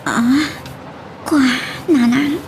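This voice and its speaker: A woman's voice: sits high, lively intonation, drawn-out words, darkish tone, a little hiss or hum, heavily acted. A woman playing an anxious, bashful daughter in an Ilocano audio drama. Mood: worried.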